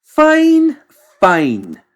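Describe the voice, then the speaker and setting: A man voicing an exasperated, excitable mad doctor in an audiobook, snappish, fussy and defensive. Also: very high voice, drawn-out words, pitched above the performer's own voice, exaggerated voice. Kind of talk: audiobook character voice.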